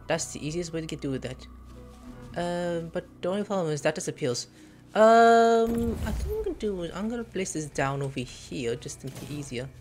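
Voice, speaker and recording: A woman's voice: low-pitched, darkish tone. A woman casually commenting while playing a video game, playful and jokey, talking to herself. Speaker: streamer.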